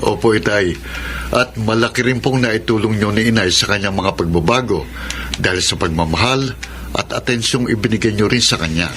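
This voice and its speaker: Radio drama son, male actor: respectful and earnest, lower pitch, faintly noisy recording. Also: moderate pace, a bit bright, natural voice. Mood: earnest, remorseful. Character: respectful, earnest grown son addressing his parents. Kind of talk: Filipino radio drama acting.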